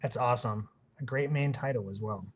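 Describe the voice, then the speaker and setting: A man's voice: mid-range voice, brisk, muffled recording, slight background noise. Upbeat male host reading guest introductions on a video call. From Pittsburgh. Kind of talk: scripted introductions in a panel talk.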